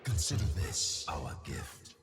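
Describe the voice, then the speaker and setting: Very deep menacing villain. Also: male voice, big pitch swings, slow pace, creaky, husky, faint music behind, full character voice. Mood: grim, imperious. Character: menacing, contemptuous cosmic warlord villain speaking as a collective. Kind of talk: video game character voice lines.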